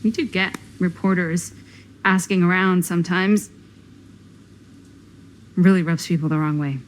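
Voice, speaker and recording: An actress playing a cool, dry young American woman in a video game, understated and deadpan as someone in the know. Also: on the low side, a little slow, lightly acted, low-quality recording. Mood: matter-of-fact. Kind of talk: naturalistic video game acting.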